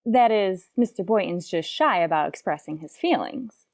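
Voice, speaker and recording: Woman playing a mellow, wry schoolteacher. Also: mid-range voice, lively intonation, moderate pace, no room sound, natural voice. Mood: dry, exasperated. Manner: dry, sardonic asides. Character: wry, quick-witted female schoolteacher fending off suitor. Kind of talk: old-time radio comedy acting.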